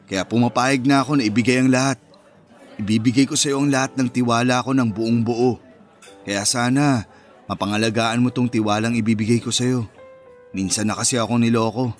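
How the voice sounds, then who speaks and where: Earnest young Filipino boyfriend, mid-pitched actor playing him. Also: male voice, normal speed, faint music behind, close to an everyday voice. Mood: earnest, romantic. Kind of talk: Filipino radio romance drama acting.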